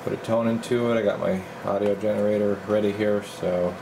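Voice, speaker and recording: A man's voice: fairly low pitch, fairly even intonation, a little fast, gravelly, background noise. A man working as a radio repair technician, casually thinking aloud through a hands-on bench demonstration in informal, muttered steps. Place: indoor electronics repair workbench.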